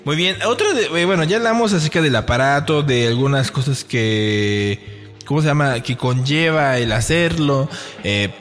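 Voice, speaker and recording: A Mexican man chats casually as a podcast co-host. His voice sits at an average pitch, and he rambles in a joking, slangy way. Faint music plays behind him. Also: expressive, normal speed, a bit bright. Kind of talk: casual humorous podcast chat.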